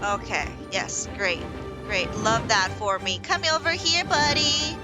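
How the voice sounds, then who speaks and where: A woman doing casual live game commentary in an excitable, repetitive, slangy and sweary way. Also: medium pitch, a little slow. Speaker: gamer, video creator. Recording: loud game music behind. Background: game music and effects.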